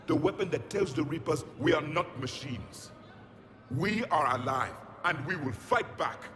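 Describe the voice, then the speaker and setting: An actor playing a proud, ancient alien warrior who speaks in a solemn, deliberate, commanding way in a video game. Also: male voice, a little high, expressive, fairly bright tone, big-room sound, slight background noise. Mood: rousing, solemn. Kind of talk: dramatic game voice acting.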